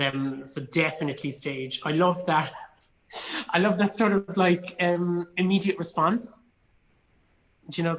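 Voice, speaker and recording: A man's voice: fairly high pitch, fairly bright tone, poor audio. Irish actor chatting, a man telling anecdotes. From Cork, Ireland. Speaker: actor, interview guest. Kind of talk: casual chat, anecdotes.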